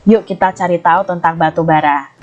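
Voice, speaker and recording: A woman's voice: lowish voice, fairly even intonation, brisk, dull audio, soft background music. A woman with an Indonesian accent hosting an online primary-school lesson, speaking to young children in an encouraging, explanatory way with plenty of questions.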